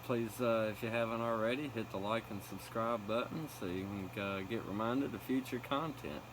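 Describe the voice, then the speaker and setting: A man's voice: on the low side, moderate pace. A man operating a CB radio, exchanging casual contact calls and repeating call signs. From North Carolina, United States. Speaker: CB radio operator and channel host. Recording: clear background noise.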